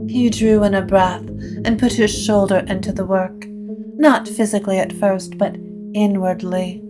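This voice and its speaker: A woman narrates a supernatural story in a literary, measured way. Her pace is slow and her pitch is medium, with music clearly playing behind her. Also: fairly even intonation. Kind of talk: scripted supernatural fiction narration.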